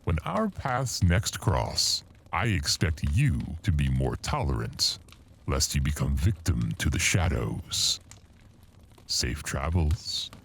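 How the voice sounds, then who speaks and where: A man playing a grim, proud, eloquent storyteller in a horror audio anthology, theatrical and measured. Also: very deep voice, sing-song, creaky, a bit bright, a little hiss or hum, exaggerated voice. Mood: ominous.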